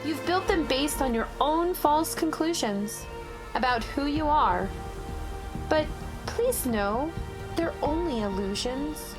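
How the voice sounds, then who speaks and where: A woman with a fairly high voice narrates for young children. She goes slowly and deliberately, reading expressively like a picture-book storyteller, with music clearly playing behind her. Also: lively intonation. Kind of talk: expressive read-aloud of rhyming picture book.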